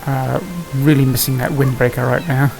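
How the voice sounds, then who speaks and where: A man's voice: medium pitch, flat delivery, normal speed, creaky, darkish tone. A man giving casual, hesitant gameplay commentary, muttering to himself as he plays. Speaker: gamer narrating own play. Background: game music and sound effects.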